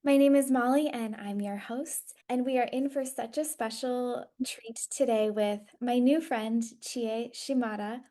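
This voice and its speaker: A woman's voice: on the high side, measured pace, few pauses, mellow. A woman from Hawaii hosting a podcast, asking her guest questions over a video call in an enthusiastic, affirming way. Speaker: podcast host.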